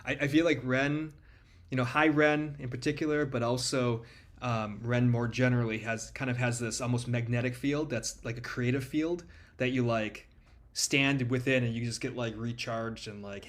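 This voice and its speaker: Man rambling in casual chat. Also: mid-range voice. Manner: rambling, full of "like".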